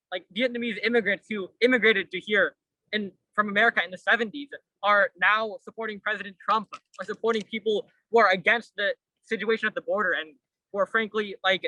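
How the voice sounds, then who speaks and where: A man hosts an open mic at a vigil and speaks in an impassioned, articulate way. His voice sits high and sounds bright, and the recording is dry. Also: no echo, low-quality recording. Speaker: vigil emcee.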